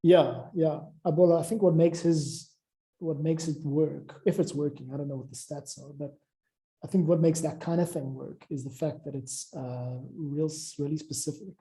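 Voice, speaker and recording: Very mellow, dark-voiced man chatting. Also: a little high, fairly even intonation, moderate pace. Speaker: music composer and creator. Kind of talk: informal work chat.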